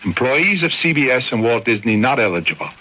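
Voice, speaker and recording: A man's voice: mid-range voice, sing-song, fairly quick, pushed and bright. A man reading a scripted radio contest promotion as the announcer. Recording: dull old radio recording.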